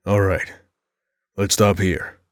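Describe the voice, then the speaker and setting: A man's voice: low voice, level tone, slow pace. A man playing a dry, skeptical, protective teenage boy in an audio drama, with sardonic delivery.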